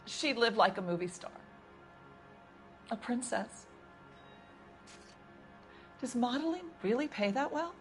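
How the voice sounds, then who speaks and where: A woman's voice: fairly high pitch, lively intonation, slow pace, long pauses, faint music behind. A woman playing a nervous housekeeper questioned by police in a video game, talking hesitantly and stammering. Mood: confiding. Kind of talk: video game interrogation scene.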